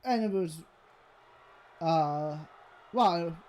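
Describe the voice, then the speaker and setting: A man chatting casually during a gaming session, hesitant and halting, often trailing off mid-sentence. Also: on the high side, darkish tone, slight background noise. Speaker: gaming channel co-host. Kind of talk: casual chat over gameplay.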